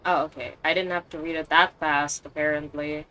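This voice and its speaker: A woman's voice: deep voice, steady tone, a little fast, pushed and bright, rough recording. A woman streamer giving casual gameplay commentary, musing to herself. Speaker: game streamer. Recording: faint background noise and game ambience.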